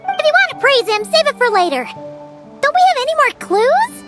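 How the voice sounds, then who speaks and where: A woman voicing a tiny, blunt, nosy fairy companion in a video game, with a very high, childlike voice. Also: sing-song, heavily acted. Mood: eager. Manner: quick, nosy and pushy, interrupts with questions. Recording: game audio with music underneath.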